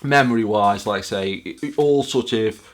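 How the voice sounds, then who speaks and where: A man's voice: lowish voice, moderate pace. A British man in his forties chatting casually in a rambling, self-deprecating way, like a vlog host reminiscing.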